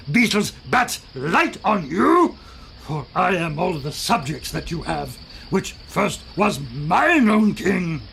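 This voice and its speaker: A brutish, bitter island creature enslaved and brooding. The voice is raised and thick with creak, crawling through Shakespearean verse. There is faint noise behind it. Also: male voice, on the high side, full character voice. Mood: bitter, resentful. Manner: slow, drawn-out, brooding delivery. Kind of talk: theatrical Shakespearean verse monologue.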